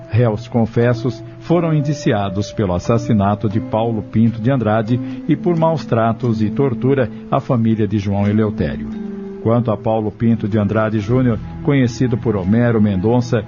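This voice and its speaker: Male audio drama narrator over music. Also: medium pitch. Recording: dull lo-fi audio.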